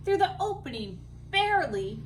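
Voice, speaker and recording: A woman reading a children's picture book aloud expressively, with dramatic, deliberate phrasing and emphasised words. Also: very high voice, very animated, slight background noise. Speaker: read-aloud storyteller.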